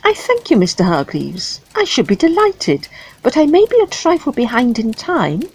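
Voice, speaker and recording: A woman playing a brisk, self-possessed Edwardian lady in a tongue-in-cheek period audio drama, polite and formal. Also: on the high side, wildly swooping pitch, breathy edge, heavily acted, poor audio. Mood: composed, wry. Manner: polite, formal, old-fashioned.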